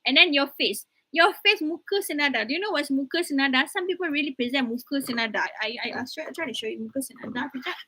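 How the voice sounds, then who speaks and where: A woman's voice: sits high, expressive, quick pace, few pauses, sharp tone. A young woman lecturer with a Malaysian accent, giving an online class on presentation skills in a chatty, teasing way, mixing English and Malay.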